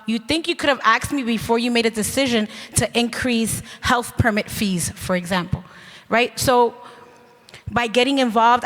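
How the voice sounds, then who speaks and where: A woman's voice: fairly high pitch, fairly bright tone. Woman state representative speaking in a hall. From New York City. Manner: conversational, earnest, with tag questions. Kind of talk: panel discussion answer. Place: conference hall panel. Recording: reverberant hall.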